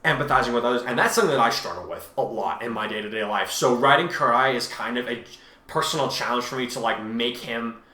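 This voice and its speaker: A man with a mid-pitched voice talks in a very echoey room. He co-hosts a casual discussion of his own writing, often halting and rewording as he searches for the right phrase. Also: sharp tone. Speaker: fan fiction writer and co-host.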